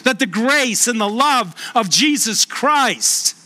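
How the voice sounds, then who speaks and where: A middle-aged man with a Boston accent, a pastor preaching a sermon and telling stories earnestly, slowing down for emphasis. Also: animated, pushed and bright. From Boston, USA. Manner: earnest storytelling, slows and lowers voice for emphasis. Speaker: pastor and hospice chaplain.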